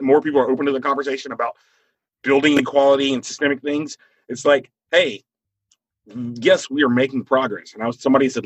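A man's voice: mid-range voice, a bit bright, husky, no echo, low-quality recording. A man in his forties with an American accent, talking casually and thinking aloud with repeated phrases. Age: 40s. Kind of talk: casual conversation, opinionated.

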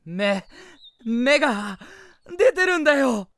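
A woman's voice: high voice, big pitch swings, slow, a bit bright, no room sound, studio quality, exaggerated voice. A woman voicing an impatient, blunt young village lad who exclaims and scolds in an audio drama. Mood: excited, exasperated. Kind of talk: Japanese audio drama acting.

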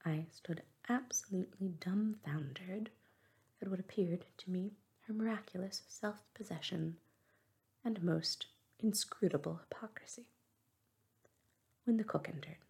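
Woman narrator, whispered audiobook reading, low-pitched, warm dark sound. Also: measured pace, vocal fry, slightly rough. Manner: soft unvoiced whisper throughout.

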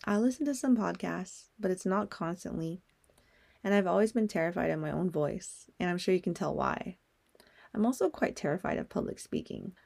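A woman's voice: on the low side, expressive, normal speed, gravelly, darkish tone, a little hiss or hum. A young woman hosting a solo podcast, talking in a candid, personal way and swearing now and then. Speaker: podcast host.

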